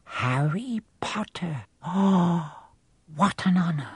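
A man's voice: high voice, very animated, slow, husky, no room sound, voice pushed up, full character voice. A man doing a frail, awestruck old barkeeper. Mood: tearful awe. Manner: breathless and trembling, drops to whispers.

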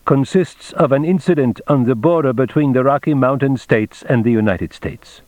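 A man's voice: mid-range voice, normal speed, a little mellow, no echo, pitched above the performer's own voice, close to an everyday voice. A man voicing a composed German naval intelligence captain in an audiobook, secretive and urgent, with a clipped, formal briefing manner. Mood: grave.

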